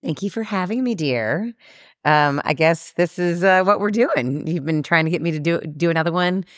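A woman's voice: fairly low pitch, animated, moderate pace, dry recording, studio quality. A woman chatting playfully as a podcast guest, asking the questions with easy fillers. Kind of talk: casual conversational podcast.

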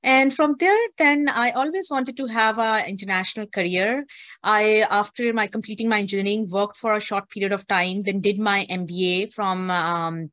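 A woman's voice: mid-range voice, fairly bright tone, no room sound. A woman, an interview guest telling her life story earnestly, with frequent fillers. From Pakistan.

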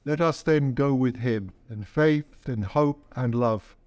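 A man speaking as a priest leading a service, preaching and praying in a measured, solemn way. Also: mid-range voice, darkish tone. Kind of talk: sermon and liturgy.

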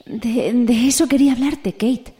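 A woman's voice: on the high side, fairly even intonation, unhurried, dark tone, natural voice. A woman playing a worried, self-deprecating botanist confiding in a colleague in an audio drama. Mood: concerned, confiding.

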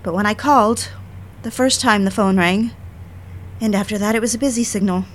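A woman's voice: mid-range voice, brisk, natural voice. A woman acting an audio drama as a defiant, indignant independent woman, with an emotional, emphatic delivery. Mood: wounded, reflective.

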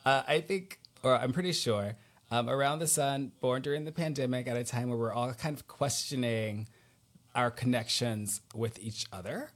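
A man's voice: medium pitch, moderate pace. A man hosting a podcast in casual interview style, searching for his words as he talks.

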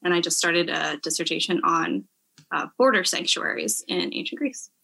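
A woman's voice: lowish voice, normal speed, creaky, sharp tone, slightly rough, low-quality recording. A young woman as a podcast guest, talking casually about herself with frequent hesitations. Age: young adult. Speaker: podcast guest, graduate student. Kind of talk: casual self-introduction.

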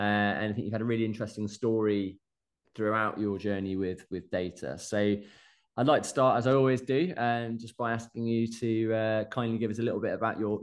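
A man hosting a podcast interview, friendly and jokey, asking long questions full of fillers. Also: on the low side. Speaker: podcast host.

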